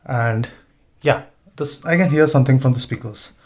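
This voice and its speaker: A man's voice: mid-range voice, moderate pace. A man giving an informal hands-on tutorial, thinking aloud as he works. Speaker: motovlogger demonstrating gear installation. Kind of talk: casual hands-on tutorial narration.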